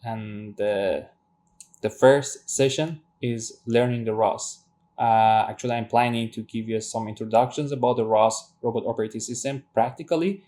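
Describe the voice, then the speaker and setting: Persian-accented man with a mellow voice. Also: mid-range voice, steady tone, moderate pace. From Iran. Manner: hesitant, many fillers and restarts. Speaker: robotics instructor. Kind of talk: screen-share tutorial lecture.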